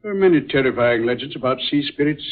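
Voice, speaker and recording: A man's voice: medium pitch, lively intonation, fast, lightly acted. A man playing an irritable, superstitious middle-aged movie director in 1940s radio drama style, blustering and ominous. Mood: ominous dread. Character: irritable, superstitious movie director father, fearful of sea spirits. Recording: muffled, phone-like old radio recording.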